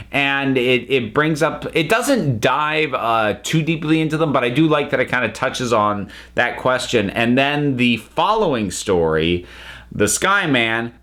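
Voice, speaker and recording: A man's voice: mid-range voice, a bit bright. A man giving a casual spoken review to viewers, thoughtful and emphatic, often breaking off to rephrase.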